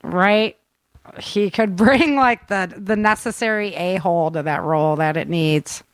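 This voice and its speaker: A woman's voice: on the low side, measured pace, dry recording. A woman hosting a podcast in casual, upbeat and informal chat. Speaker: podcast host.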